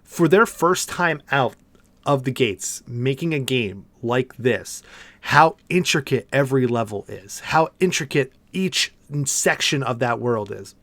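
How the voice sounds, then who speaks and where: A man's voice: medium pitch, expressive, a little slow, fairly bright tone, dry recording. A man co-hosting a podcast, chatting casually and enthusiastically about video games in an informal way. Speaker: podcast co-host. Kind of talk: casual video game discussion.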